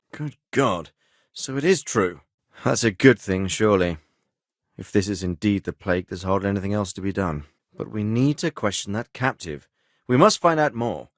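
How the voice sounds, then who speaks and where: Commanding medieval lord, acted by a man, lively intonation. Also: mid-range voice, dry recording, studio quality, close to an everyday voice. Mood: grave, concerned. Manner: authoritative, measured, formal phrasing. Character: commanding middle-aged medieval nobleman, stern but fatherly. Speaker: feudal lord and military commander. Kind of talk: video game dialogue acting.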